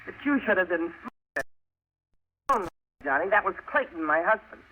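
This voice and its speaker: An actress playing a hard, scornful American crook's wife with a gun, slangy and sneering, in a 1950s radio drama. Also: female voice, fairly low pitch, big pitch swings, moderate pace. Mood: scornful, threatening. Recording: muffled, noisy old radio recording.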